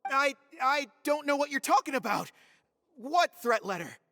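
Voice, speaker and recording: A nervy, evasive young woman caught out in a video game. Her high voice is defensive and defiant, trailing a long reverb. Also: expressive, normal speed, fairly bright tone, studio quality. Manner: defensive, stammering.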